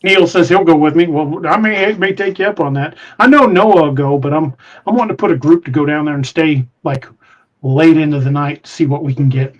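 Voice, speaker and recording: Give me a man with a twang and a slightly high voice, hosting a podcast and rambling through listener comments with lots of restarts. Dull, lo-fi recording. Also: fairly quick. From Missouri, USA. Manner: rambling, hesitant with many restarts. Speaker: podcast host. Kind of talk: casual live-stream chat reading comments.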